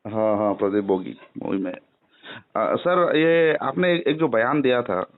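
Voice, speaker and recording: A man's voice: medium pitch, expressive. Tinny phone-line Indian man, insistent questioner. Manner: insistent, halting, repeats himself. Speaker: channel creator, caller. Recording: tinny narrowband phone call.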